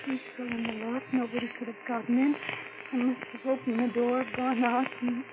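Actress playing troubled frightened woman, high voice, halting melodramatic delivery, 1940s radio thriller, tinny narrow sound. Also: little pitch movement, lightly acted. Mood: hushed dread. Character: troubled, frightened, defiant woman plagued by violent dreams. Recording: scratchy, tinny old radio recording, heavy noise.

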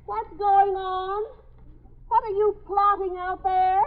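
A woman's voice: very high voice, little pitch movement, takes it slowly. An actress playing an anxious, impatient young woman in a 1950s radio drama, plaintive and insistent. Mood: impatient, fretful. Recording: dull 1950s radio broadcast recording.

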